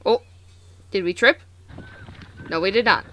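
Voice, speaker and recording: A woman hosting a reaction video, commenting casually in an animated, exclamatory, slangy way. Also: mid-range voice, measured pace, a little hiss or hum.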